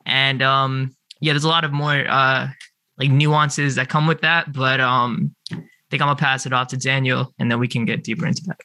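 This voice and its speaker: A man's voice: mid-range voice, fairly even intonation, normal speed, pushed and bright, dry recording. A young man who grew up in Canada speaks hesitantly in a casual chat, with many ums and an uneven pace. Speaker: youth artist guest.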